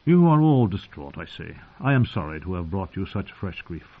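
Man voicing a smooth, courteous nobleman envoy, slightly raised pitch. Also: big pitch swings, moderate pace, narrow, tinny audio, heavily acted. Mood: courteous, measured. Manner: formal, diplomatic, politely insistent.

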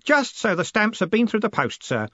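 A man voicing an earnest, excitable and polite boy enthusiast in an audiobook. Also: high-pitched, normal speed, no echo, muffled recording, voice pushed up, exaggerated voice. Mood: eager.

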